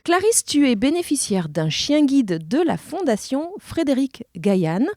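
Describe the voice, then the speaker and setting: A woman's voice: sits high, big pitch swings, measured pace, dark tone, dry recording, clean studio sound. A woman hosting a podcast, presenting and interviewing in an articulate, careful way.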